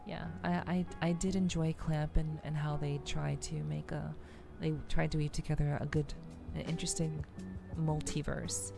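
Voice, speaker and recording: A woman's voice: sits low, level tone, moderate pace, gravelly, hardly any pauses, music in the background. A woman streamer chatting casually to her live audience, thinking aloud and often repeating her words. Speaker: VTuber streamer. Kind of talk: casual livestream chat.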